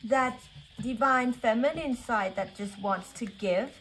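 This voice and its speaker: A woman whose first language is Thai, giving a tarot card reading in a soothing, searching way with many pauses and restarts. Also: on the high side, fairly bright tone, a little hiss or hum. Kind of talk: spiritual pick-a-card reading.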